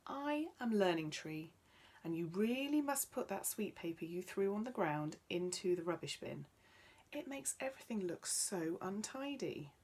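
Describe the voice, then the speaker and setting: A woman's voice: mid-range voice, expressive, normal speed, darkish tone, slightly rough. A woman voicing a male talking magic tree in a children's story, wise and bossy yet kindly, with an instructive manner. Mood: stern. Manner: chanting rhymes, instructive.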